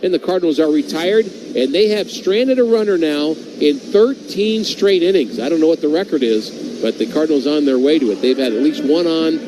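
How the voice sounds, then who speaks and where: Male baseball radio announcer, slightly high voice, wry casual banter, clearly noisy broadcast. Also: lively intonation, moderate pace. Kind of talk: live radio sports commentary with casual banter.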